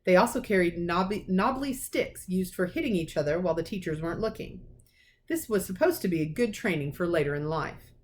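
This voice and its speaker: A woman reading a children's storybook aloud as a teacher, with expressive narration. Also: lowish voice, a little fast. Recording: clearly noisy recording with clicks.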